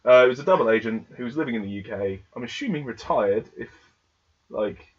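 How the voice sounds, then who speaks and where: Husky man chatting casually, dull recording. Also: mid-range voice, animated, brisk. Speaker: podcast co-host. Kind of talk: casual chat.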